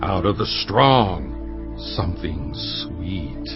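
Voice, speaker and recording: Over prominent music comes a young strongman of ancient Israel, brash and vengeful. He declaims defiantly with wide swings of pitch, in an audio drama style. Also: sing-song, very slow, muffled recording, full character voice. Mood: challenging.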